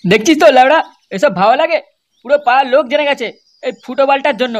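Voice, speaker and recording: A woman's voice: high-pitched, quick pace, lightly acted. A woman voicing brash young village troublemakers in an amateur cartoon comedy, scolding crudely and swearing constantly. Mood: bitter, vengeful.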